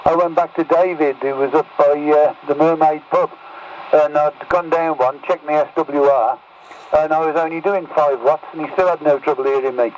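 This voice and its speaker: A man's voice: on the high side, fairly even intonation, moderate pace, a little mellow. A man chatting casually as a CB radio operator, swapping signal reports over the air. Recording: muffled, distant radio with faint noise.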